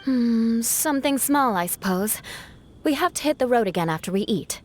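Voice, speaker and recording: An aloof game knight captain, slightly high, expressive, blunt. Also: female voice, normal speed. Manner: haughty, clipped.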